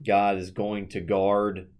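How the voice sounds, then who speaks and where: A man's voice: lowish voice, completely flat delivery, slow pace, darkish tone. A man co-hosting a casual Bible discussion, talking earnestly and conversationally with plenty of "you know"s. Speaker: Bible study co-host.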